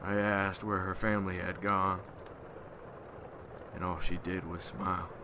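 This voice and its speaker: A man playing a weary, haunted rural Civil War veteran turned vampire hunter, telling his story slowly and haltingly in an ASMR roleplay. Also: sits low, little pitch movement, noisy background. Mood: grave. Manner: slow, halting, somber folksy storytelling.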